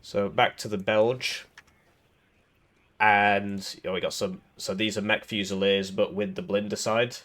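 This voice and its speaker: A man's voice: lowish voice, little pitch movement, measured pace, fairly bright tone. A man with a cold, sounding a little throaty, giving casual rambling commentary over a strategy game with lots of fillers and restarts. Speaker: gaming video creator.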